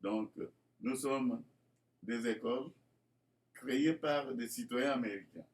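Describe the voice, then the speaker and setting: A man's voice: medium pitch, slow pace. A man from Congo presenting his school project in a formal, churchly way, with many fillers and repeated words. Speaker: school founder addressing inspectors. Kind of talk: hesitant spoken presentation.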